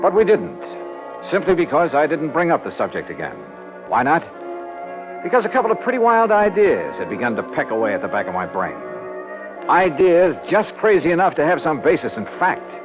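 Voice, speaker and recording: A tough, wry private investigator in a vintage radio detective show. He is clipped and confident, with a very lively delivery over music, on a muffled recording. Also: a little high, brisk. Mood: wry narration. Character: brisk, skeptical, tough male insurance investigator. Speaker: lead detective and narrator. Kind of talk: 1950s radio noir narration and dialogue.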